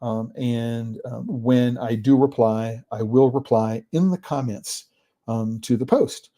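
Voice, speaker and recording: A man hosting a podcast, giving an informal explanatory talk in a halting way, searching for words and often checking in with the listener. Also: medium pitch, warm tone. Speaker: podcast host.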